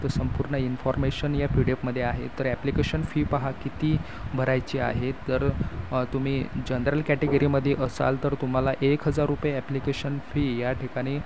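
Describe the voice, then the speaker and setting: A man from Maharashtra presenting an informational explainer to online viewers, reading out details with hesitant pauses. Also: mid-range voice, flat delivery, background noise. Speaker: YouTube host announcing job openings.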